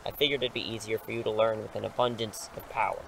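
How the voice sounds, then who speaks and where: A man's voice: fairly low pitch, fairly even intonation, fast, vocal fry, fairly bright tone, a little hiss or hum, low-quality recording. A man playing an eager, cocky English teacher who is secretly a superhero, breezy and persuasive, in an audio drama. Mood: easygoing.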